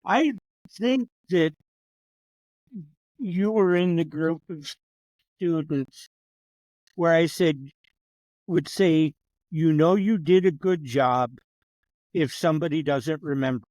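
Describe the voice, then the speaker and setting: A man in his sixties, a professor, sits in as a podcast guest. His voice sits fairly high for a man, and he tells his stories with chatty, wry humor. The sound is close and dry. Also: takes it slowly, long pauses, a little mellow, no echo, low-quality recording. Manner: reminiscing storyteller, chatty and wry. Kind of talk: casual conversational interview.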